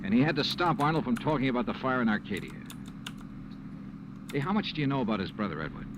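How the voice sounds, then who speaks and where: A man acting a brisk American investigator from old-time radio detective drama. He fires off clipped questions and narration at a quick pace with a lively swing in pitch. The vintage recording is clearly noisy. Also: lightly acted. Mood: probing. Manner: clipped, businesslike questioning and first-person narrating. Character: brisk, probing male insurance investigator.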